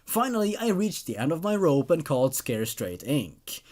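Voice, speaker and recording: Exasperated mother, read by a man, storybook infomercial testimonial, earnest and lively, pitch a little raised. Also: normal speed. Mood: worried, then grateful.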